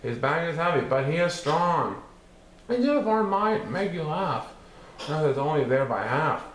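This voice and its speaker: A man's voice: a little high, sing-song, measured pace. A man reading a children's picture book aloud in verse, with a theatrical, rhythmic, sing-song delivery. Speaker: storybook reader. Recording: roomy echo with some background noise.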